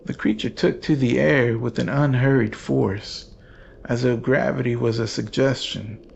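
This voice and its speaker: A man narrates a horror tale in a creaky, gravelly voice. His delivery is very slow, grave and ominous, and the recording sounds dull with a little noise behind it. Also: medium pitch, fairly even intonation, a little mellow. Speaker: narrator and archivist host.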